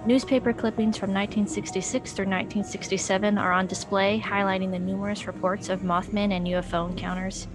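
A woman reads documentary narration in an even, measured way, her pitch sitting in the middle range. A clear bed of music runs underneath her. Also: low-quality recording. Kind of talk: scripted narration.

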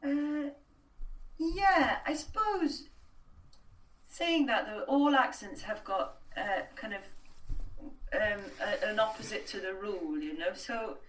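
A woman's voice: medium pitch, lively intonation, slow pace, pauses often, fairly bright tone. A woman working as a voice coach, a Welsh speaker, answering interview questions in a chatty way with many restarts and fillers. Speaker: voice and accent coach. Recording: distant, roomy sound.

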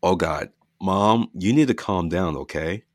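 A man's voice: low-pitched, unhurried, no echo, clean studio sound. A man voicing an earnest, eager adult daughter living in a virtual cloud.

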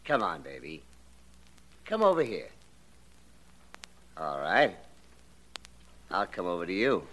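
A man's voice: low voice, very animated, slow, long pauses, a bit bright, exaggerated voice. An actor playing a menacing young male murderer in a 1940s radio drama, sneering and threatening.

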